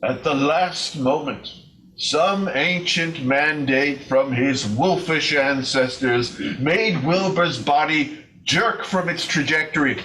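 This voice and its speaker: A man reading a story in a measured, theatrical, suspenseful way, as a readers theater performer. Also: on the high side, a bit bright, slight background noise. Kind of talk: dramatic storytelling to an audience. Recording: distant mic, stage show video.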